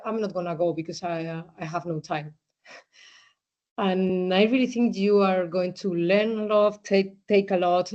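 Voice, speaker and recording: A woman's voice: mid-range voice, darkish tone. Woman, guest speaker, hesitant delivery, many fillers, English, muffled call audio. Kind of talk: online presentation talk. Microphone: video call.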